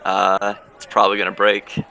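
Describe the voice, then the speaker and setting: A man's voice: fairly low pitch, monotone, moderate pace, creaky, bright, forceful tone, low-quality recording. A man talking casually as a player in a tabletop role-playing game. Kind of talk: casual chat.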